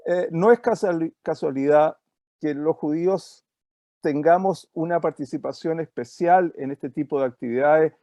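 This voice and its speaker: A man from Chile with a Chilean Spanish accent, giving an online lecture over a video call and pausing often with filler sounds. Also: a little high, mellow, no room sound. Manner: hesitant, many fillers. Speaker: guest speaker.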